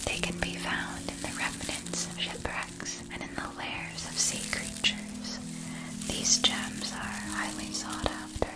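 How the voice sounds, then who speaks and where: A woman whispering softly and breathily in a scripted ASMR roleplay as a gentle magic shopkeeper, enunciating each phrase with care. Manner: slow, deliberate, careful enunciation.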